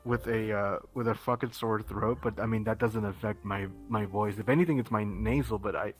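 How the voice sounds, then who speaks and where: A laid-back man with a naturally deep voice, talking in a loose, rambling way and swearing casually. Faint music plays softly behind him. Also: steady tone, fairly quick. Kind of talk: casual chat on a livestreamed podcast.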